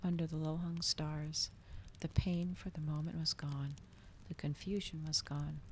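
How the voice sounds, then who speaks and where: A woman doing a soft, whispered ASMR reading as a streamer, slow and breathy, in a hushed manner. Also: sits low, steady tone, slight background noise. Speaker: streamer host reading aloud.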